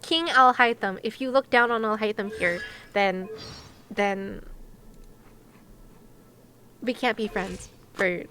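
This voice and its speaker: A woman's voice: medium pitch, takes it slowly, long pauses, bright, forceful tone, a little hiss or hum. A woman chatting casually to viewers on a game livestream, with a playful, giggly, chatty manner. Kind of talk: casual livestream chat while gaming, talking to viewers.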